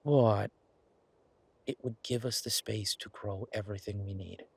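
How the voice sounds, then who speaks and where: A man playing a practical restaurateur boyfriend in a roleplay audio drama, talking casually and thoughtfully with halting pauses, as if explaining a plan to a partner. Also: on the low side, steady tone, gravelly, husky, exaggerated voice. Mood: earnest, persuasive. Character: male werewolf boyfriend, practical restaurateur pitching a farm plan. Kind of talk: roleplay audio drama, naturalistic one-sided conversation.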